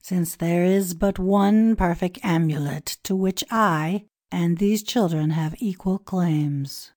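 A grave Egyptian priest from a storybook, played by a woman, speaks in a low, dark voice. The delivery is extremely slow and solemn, with formal, old-world phrasing, recorded dry and close. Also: no room sound. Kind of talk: dramatised storybook reading.

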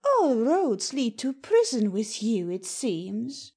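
A man's voice: extremely high pitch, huge pitch swings, slow pace, darkish tone, pitched above the performer's own voice, heavily acted. A man voicing a serene, imperious ancient queen in a storybook reading, with a languid, regal manner.

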